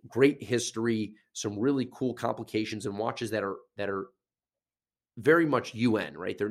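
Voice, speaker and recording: Nasal man rambling on a podcast. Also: fairly low pitch. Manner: rambling, with many fillers and restarts. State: getting over a sinus infection. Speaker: podcast host. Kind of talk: casual solo podcast talk.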